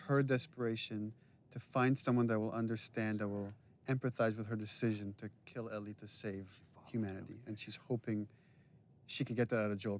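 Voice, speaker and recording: Low, even-voiced man giving casual commentary. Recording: faint background noise and ambience.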